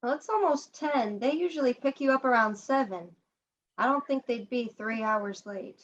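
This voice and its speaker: Kindly, rough-edged older janitor played by a young woman, stage play, chatty and folksy; higher voice, dull recording. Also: lightly acted. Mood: wary, concerned. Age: young adult. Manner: folksy, rambling, halting. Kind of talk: student one-act stage play acting.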